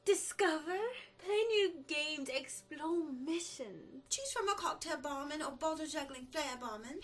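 A young adult woman reading scripts as a voice-over artist. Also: high voice. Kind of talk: scripted voice-over audition reads.

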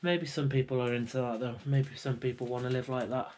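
A young British man quietly narrating as he walks through an abandoned house, musing and sometimes half-whispering, recorded on a handheld camera indoors. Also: mid-range voice, fairly even intonation, brisk, background noise. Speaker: urban explorer vlogger. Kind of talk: casual walkthrough commentary. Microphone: handheld action camera.

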